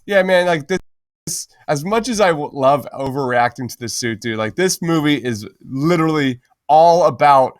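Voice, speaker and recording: An enthusiastic man hosts a pop-culture podcast in a slightly high-pitched voice. He talks in loose, slangy, buddy-to-buddy fashion. The sound is close and dry. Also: expressive, normal speed, no echo. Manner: enthusiastic, informal, slangy, peppers talk with "man" and "dude". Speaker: podcast host.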